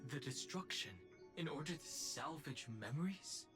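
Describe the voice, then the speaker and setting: A man's voice: fairly high pitch, lively intonation, moderate pace, music in the background, lightly acted. Serious, reserved young man voiced by an actor. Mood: serious, questioning. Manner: terse, earnest, questioning. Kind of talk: scripted video game dialogue.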